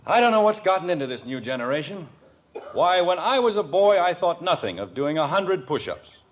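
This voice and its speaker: Animated, blustering 1950s radio dad. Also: male voice, high voice, brisk. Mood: jovial, boastful. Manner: hemming, good-humored bluster. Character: genial, boastful suburban family father, middle-aged. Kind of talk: 1950s radio sitcom acting. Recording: noisy vintage radio recording.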